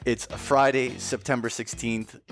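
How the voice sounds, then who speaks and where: A man's voice: mid-range voice, level tone, measured pace, soft background music. A man co-hosting a podcast, talking casually with lots of fillers, restarts and easy swearing. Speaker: podcast co-host.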